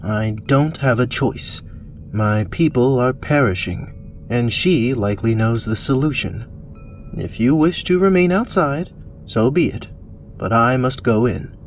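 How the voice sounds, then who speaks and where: A man's voice: mid-range voice, expressive, measured pace, a little hiss or hum. A man playing a grave, determined knight in a fantasy audio drama, with formal, terse delivery. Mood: resolute. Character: grave, determined knightly captain from a suffering land.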